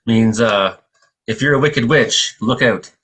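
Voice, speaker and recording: A man with a husky, raspy voice at middle pitch hosts a radio show. He chats casually and jokes along with the others. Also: unhurried, a bit bright. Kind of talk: casual joking radio chat.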